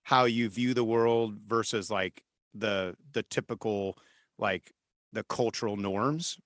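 Man interviewer with a dry, bright voice. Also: on the low side, takes it slowly, no echo. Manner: reflective, probing, hesitant with "uh" fillers. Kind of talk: casual interview conversation.

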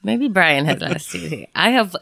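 A woman's voice: on the low side, moderate pace, creaky. A woman co-hosting a casual podcast, telling stories with lots of swearing and laughter. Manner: animated storyteller, swearing and laughing often. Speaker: podcast co-host. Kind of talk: casual chat.

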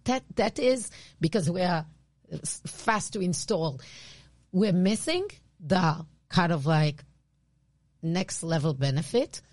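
A woman with an Israeli accent, co-hosting a podcast and explaining branding in a casual, animated way. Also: on the low side, slow pace, no room sound. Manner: animated, restarts often, laughs easily. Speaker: podcast co-host, branding expert.

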